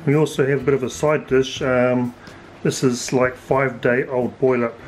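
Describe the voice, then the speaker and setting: A man in his forties with a New Zealand accent, a little hoarse, chatting casually as he cooks and tastes food in his home kitchen. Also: mid-range voice, normal speed, music in the background, rough recording. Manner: relaxed, chatty, thinking aloud with fillers. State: slightly hoarse, losing his voice. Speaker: home cook vlogger. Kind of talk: casual cooking commentary.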